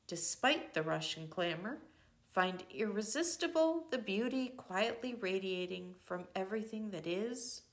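An older woman reading a devotional book aloud in a gentle, measured way, pausing between phrases. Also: mid-range voice. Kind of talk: slow reflective book reading.

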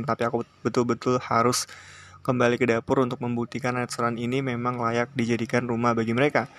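A man reads literary first-person narration aloud in a measured, scripted way. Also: medium pitch, a bit bright, slight background noise. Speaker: audiobook reader.

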